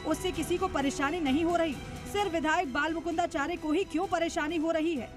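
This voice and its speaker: A woman's voice: very high voice, steady tone, fairly quick. A woman reading a scripted news report voice-over with dramatic, emphatic delivery. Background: music under the voice.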